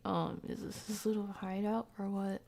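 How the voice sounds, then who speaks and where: A woman's voice: mid-range voice, little pitch movement, normal speed, creaky, mellow, slight background noise. A woman doing casual live game commentary as a streamer, jokey and slangy, with mild exclamations in place of swearing.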